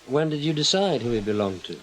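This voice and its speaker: An actor playing a sceptical intelligence officer in a radio drama, putting brief, pointed questions with cool authority. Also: male voice, mid-range voice, very animated, a little fast, close to an everyday voice. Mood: probing, cool. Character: probing male British intelligence colleague, cool, sceptical, authoritative.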